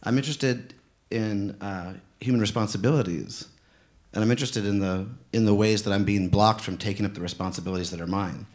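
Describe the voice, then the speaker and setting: A man sits on a panel and talks casually, circling back on himself with many fillers. His voice sits a little low. He speaks loosely, as in relaxed conference chat. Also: a little fast. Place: conference room in a museum.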